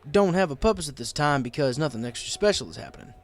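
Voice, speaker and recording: A man playing a wry, folksy male pony bartender in an audiobook, casual, sympathetic and gently teasing. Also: a little high, animated, fast talker, voice pushed up. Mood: placating. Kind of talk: audiobook character dialogue.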